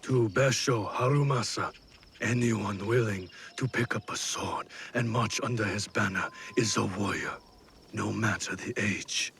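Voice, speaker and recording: An actor playing a grave, weary and cautious samurai strategist in a video game, speaking in a measured, solemn manner. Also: male voice, lowish voice, creaky, a bit bright, a little hiss or hum. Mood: somber. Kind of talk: dramatic video game cutscene acting.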